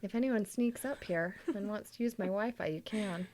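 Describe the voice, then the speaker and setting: A woman's voice: mid-range voice, normal speed, darkish tone. A middle-aged woman hosting a podcast in a casual, playful, informal chat. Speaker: podcast host.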